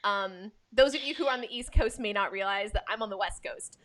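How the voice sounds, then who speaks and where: A woman's voice: mid-range voice, fairly quick, fairly bright tone. A woman from the United States hosting an arts podcast in a casual, enthusiastic and effusive chatting style. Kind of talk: casual chat, introducing guests.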